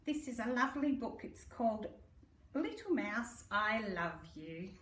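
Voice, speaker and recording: A woman's voice: on the high side, very animated, unhurried, noisy background. An Australian woman reads a children's storybook aloud in a sing-song, affectionate, theatrical way, as if to small children. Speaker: primary school story reader. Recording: roomy echo, distant microphone.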